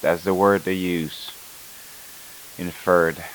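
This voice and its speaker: A man playing a weary, uneasy night-shift scientist, recording a halting, clinical yet introspective audio log for an analog horror drama. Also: deep voice, flat delivery, low-quality recording. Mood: hesitant, brooding. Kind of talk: scripted found-footage horror audio log. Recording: hissy analog tape with static.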